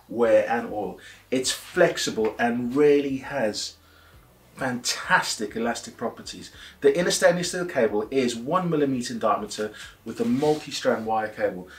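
A man in his forties presenting a scripted product review to camera, explaining things and posing his own questions. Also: medium pitch. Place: indoor workshop studio. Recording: roomy, echoey indoor sound.

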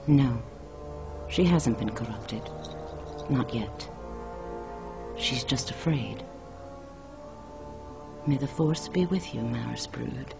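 A woman playing a calm, resolute alien Jedi master in a video game, with a measured, authoritative delivery. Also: extremely low pitch, vocal fry, loud music behind the voice, heavily acted. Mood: calm, concerned. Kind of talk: cinematic video game acting.

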